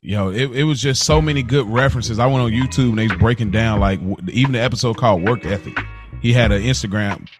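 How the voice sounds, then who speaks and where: A man co-hosting a podcast in casual chat, reacting in an animated, slangy way. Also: mid-range voice, quick pace, music in the background. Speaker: podcast co-host.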